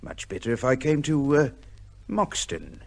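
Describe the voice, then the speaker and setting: A man's voice: mid-range voice, big pitch swings, a little slow, vocal fry, slight background noise. An actor playing a menacing, mock-polite male blackmailer, insinuating and taunting, in an old-time radio drama. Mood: sly, menacing.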